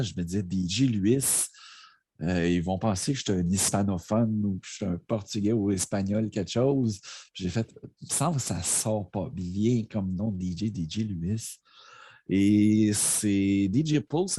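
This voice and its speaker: A man's voice: lowish voice, warm tone, breathy edge, no echo. A man with a Quebec French accent, a guest DJ telling stories in a casual livestream chat at his home streaming setup. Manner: laid-back rambling storyteller.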